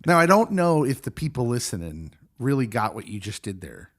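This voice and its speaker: An American man in his forties hosting a podcast, chatting casually, laughing often and getting playfully excited. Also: mid-range voice, brisk. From United States. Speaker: podcast host.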